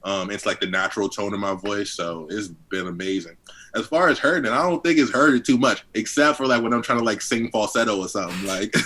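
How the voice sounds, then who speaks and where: Deep-voiced young man from D.C. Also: fairly quick, bright, forceful tone. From Southeast Washington, D.C. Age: 20s. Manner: laid-back, heavy on fillers, chuckles often. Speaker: guest rapper. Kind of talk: casual interview chat.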